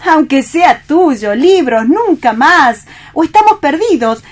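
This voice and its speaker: A woman's voice: high-pitched, animated, slow pace. An actress with a Spanish accent from Spain playing a bossy, flamboyant, seductive woman in a radio play reading. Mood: impatient, commanding. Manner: imperious, theatrical.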